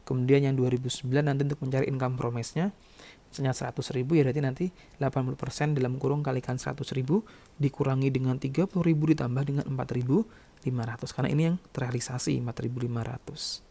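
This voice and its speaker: A man's voice: medium pitch, fairly even intonation, fast, creaky, dark tone. A man teaching like a lecturer, explaining step by step and often adding short check-in words as he goes. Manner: instructional, repeatedly checking with short tag words. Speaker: accounting lecturer.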